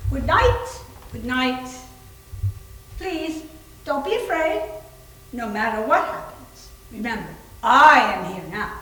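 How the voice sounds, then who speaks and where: A woman playing a self-important, married spirit medium in a readers theater, with a sharp, theatrical and deliberate manner. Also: high voice, lively intonation, full character voice. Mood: brisk, condescending. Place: reverberant room at a library reading. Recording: distant echoey room, noisy.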